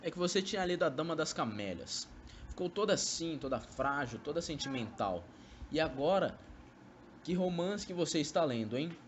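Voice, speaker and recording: A man's voice: on the high side, lively intonation, moderate pace, lo-fi sound, slight background noise, close to an everyday voice. A young man acting a sly, coaxing former lover in an amateur radio drama, speaking Brazilian Portuguese with poetic, theatrical delivery. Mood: teasing.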